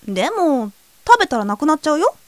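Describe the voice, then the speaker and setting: Teenage fairy-tale girl, acted by a woman, audio drama, high voice, animated intonation, teasing, unruffled, fearless. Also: fast, no echo. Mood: playful, gentle. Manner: gently teasing, unruffled feminine speech. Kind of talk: scripted audio drama acting. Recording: music behind the voice.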